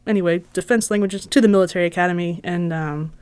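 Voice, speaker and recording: A woman's voice: on the low side, quick pace, a little mellow, clear, resonant tone, dry recording. An American woman guest telling stories of her childhood, chatty and self-deprecating, laughing often. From United States. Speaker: podcast guest. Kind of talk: casual storytelling interview.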